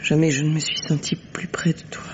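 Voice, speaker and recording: An actress playing a wounded, resigned lover with a hesitant, tender, breathy delivery, in the theatrical style of a 1950s radio mystery. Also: female voice, low-pitched, flat delivery, brisk, whispered, lightly acted. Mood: bitter, tender. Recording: dull, noisy old radio recording.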